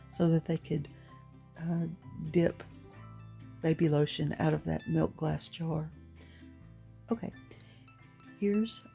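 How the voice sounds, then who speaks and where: A middle-aged woman with a gruff voice giving a chatty, rambling, unhurried voice-over for a craft tutorial. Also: low voice, mellow. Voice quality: gruff, gravelly. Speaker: craft vlogger.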